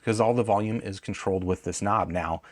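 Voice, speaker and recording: A man's voice: on the low side, fairly quick, no echo, clean studio sound. A man giving a casual, unscripted product review, conversational and thinking aloud as he corrects himself.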